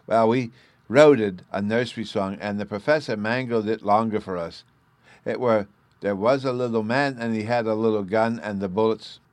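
A man's voice: on the low side, normal speed, no room sound, voice pushed up, close to an everyday voice. A man voicing an eager, stubborn little fairy boy with a babyish lisp, in an audiobook. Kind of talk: audiobook character voice.

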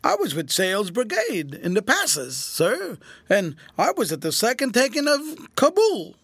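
A man voicing a whining, cringing old ex-soldier turned tramp, rough-spoken and slyly malicious, in a storybook reading. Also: high voice, wildly swooping pitch, a little slow, fairly bright tone, dry recording, voice pushed up, exaggerated voice. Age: older. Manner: wheedling, rough colloquial speech.

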